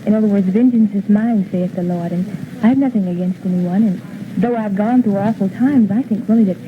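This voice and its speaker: A woman's voice: mid-range voice, a little fast. Reflective woman on tinny, noisy newsfilm. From United States. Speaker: interviewee. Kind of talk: reflective news interview answers. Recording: tinny old newsfilm, clear noise.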